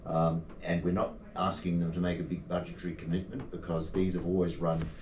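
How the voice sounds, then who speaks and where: Deep-voiced man addressing a room. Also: little pitch movement, a little mellow. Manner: earnest, measured, with ums and uhs. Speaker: community advocate. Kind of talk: short public address. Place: indoor venue at a poetry evening. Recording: echoey room, distant mic, noisy.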